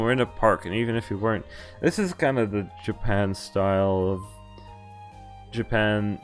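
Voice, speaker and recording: A man streaming a visual novel, reading its lines aloud and breaking off to react and explain, with animated exclamations and groans. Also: on the low side.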